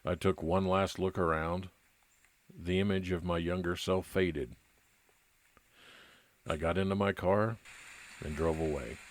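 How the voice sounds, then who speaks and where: A man's voice: sits low, fairly even intonation, unhurried, long pauses, dry recording. A man playing a wry, world-weary private investigator in an old-time radio noir detective drama. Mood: reflective, wry.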